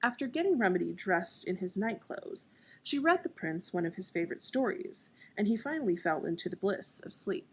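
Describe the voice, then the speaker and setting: A woman's voice: mid-range voice, very animated, normal speed, slight background noise. A woman narrating as an author and storyteller. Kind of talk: scripted audiobook narration.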